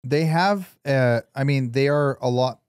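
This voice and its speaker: A man's voice: mid-range voice, unhurried, darkish tone, smooth, dry recording, studio quality. A man co-hosting a podcast, chatting casually and emphatically about politics with some swearing. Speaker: podcast co-host. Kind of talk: casual opinionated political chat.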